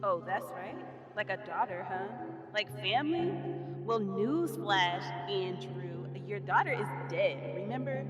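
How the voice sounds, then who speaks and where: A woman's voice: mid-range voice, lively intonation, unhurried. Reverb-drenched scornful woman played by an actress. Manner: sarcastic, cutting. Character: scornful, wounded woman heard as haunting remembered voice. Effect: dreamlike reverb. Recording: long reverb, loud music.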